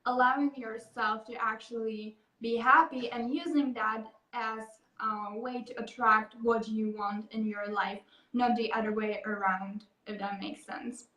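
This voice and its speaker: A woman's voice: on the high side, fairly even intonation, measured pace, bright, forceful tone. A woman from the Republic speaking as an interview guest over a video call, talking casually with lots of fillers. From Czech Republic. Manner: rambling, many fillers like "like" and "um". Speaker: guest, happiness coach. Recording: echoey room, distant from mic.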